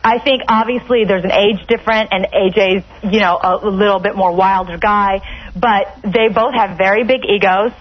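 A woman guest on a talk show, giving a candid, halting and measured personal explanation. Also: mid-range voice, fairly even intonation. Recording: dull lo-fi radio or phone sound.

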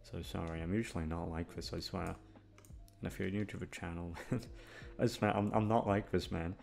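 Low, creaky-voiced man, downbeat rambling. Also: steady tone, moderate pace, a little mellow, soft background music. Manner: downbeat, complaining, swears freely. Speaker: channel host, audio voice actor. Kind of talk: casual rambling chat to listeners.

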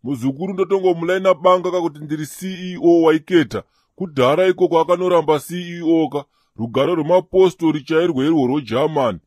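Comedy cartoon gravedigger: Zimbabwean, slangy show-off, highish voice, quick delivery. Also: male voice, darkish tone, slightly rough, dry recording, studio quality. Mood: boastful. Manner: bragging, slangy. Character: boastful gravedigger posing as a flashy big spender.

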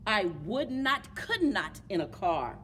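Grumpy rhyming refuser read by a woman: emphatic, slow, swooping pitch, clear room noise. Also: big pitch swings, fairly bright tone. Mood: stubborn. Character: stubborn, grumpy creature refusing food. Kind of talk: rhyming picture-book read-aloud. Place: public library children's book corner.

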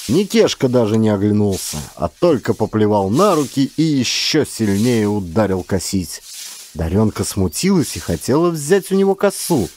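Animated male audiobook storyteller. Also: lowish voice, moderate pace, slight background noise. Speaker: audiobook narrator, actor. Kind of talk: expressive literary storytelling.

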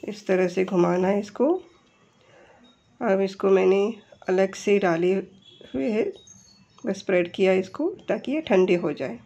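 A woman's voice: mid-range voice, unhurried, long pauses, warm tone. Muffled recording of an Indian woman explaining. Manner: explanatory, step-by-step. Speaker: home cook and vlogger. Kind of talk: instructional recipe voice-over.

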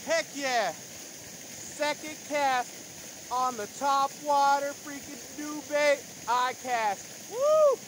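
A man's voice: extremely high pitch, very animated, drawn-out words, a bit bright. Hyped man filming himself outdoors. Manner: hyped, slangy, whooping after catches. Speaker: fishing vlogger. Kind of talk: casual outdoor vlog commentary. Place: outdoors by a pond and river. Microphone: phone camera at arm's length. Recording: noisy outdoor phone recording.

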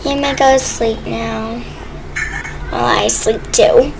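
A woman's voice: fairly high pitch, big pitch swings, slow pace, background noise. A young woman in casual, playful role-play chat with a friend while gaming, with a teasing way of talking. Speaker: gamer playing a role-play game with a friend.

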